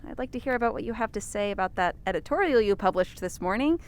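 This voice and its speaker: A woman's voice: a little high, expressive, quick pace, slight background noise. A woman playing a dry, exasperated young reporter with a wry, sarcastic manner in a comic audio drama. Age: 20s.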